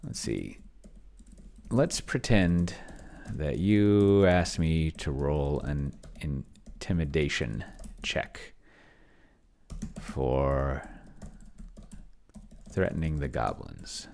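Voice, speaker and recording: Middle-aged male streamer, low voice, casual rambling commentary over a solo role-playing session. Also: sing-song, drawn-out words, creaky, pauses often, a little mellow, slight background noise. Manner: rambling, thinking aloud. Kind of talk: casual livestream commentary while typing. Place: small cluttered home room, indoors.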